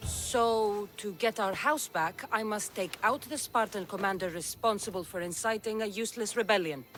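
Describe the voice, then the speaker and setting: Woman acting a blunt, mid-pitched mercenary warrior. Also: moderate pace, fairly bright tone, close to an everyday voice. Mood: blunt, determined. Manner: dry, direct questioning. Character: tough, blunt, dry-witted female mercenary warrior. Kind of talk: dramatic video game cutscene dialogue.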